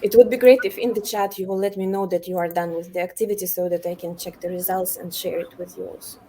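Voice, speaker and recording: Woman from Ukraine, teacher, video call workshop, halting with fillers, mellow warm tone. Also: on the low side, steady tone, a little hiss or hum. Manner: halting, many fillers and restarts. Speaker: elementary teacher presenting a workshop. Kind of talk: online workshop presentation.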